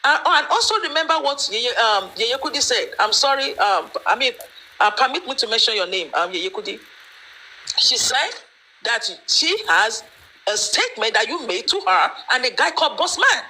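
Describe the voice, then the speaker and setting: A woman's voice: fairly high pitch, lively intonation, moderate pace, pushed and bright, rough recording. A woman giving her opinion in an online discussion, emphatic and lecturing, with many fillers. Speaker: panelist.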